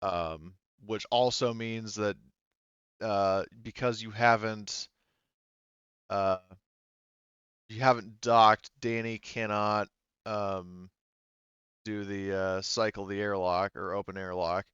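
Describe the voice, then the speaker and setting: A man's voice: on the low side, steady tone, takes it slowly, pauses often, fairly bright tone, clean tone, dry recording, highs cut off. A man running a tabletop game as game master, explaining the rules in a hesitant, backtracking way.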